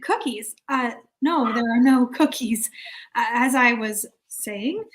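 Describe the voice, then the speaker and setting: A woman's voice: a little high, slow pace, a little hiss or hum. A woman playing a prim, fussy newcomer chairing a committee in a comic play reading, speaking with formal over-politeness. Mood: prim, firm.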